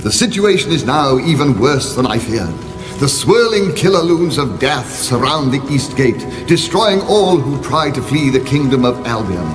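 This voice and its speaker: A man's voice: mid-range voice, big pitch swings, moderate pace, music in the background, close to an everyday voice. A man playing a pompous, busy, muddled wizard in mock-epic radio comedy, grand proclamations slipping into flustered muttering. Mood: grand, urgent.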